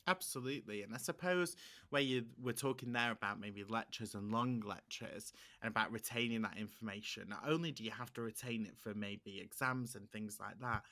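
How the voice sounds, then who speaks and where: An upbeat man hosts a roundtable interview, steering the panel with encouraging remarks. His voice is bright and forceful, and his pitch sits in an ordinary middle range. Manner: upbeat, encouraging, fluent presenter. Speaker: panel host.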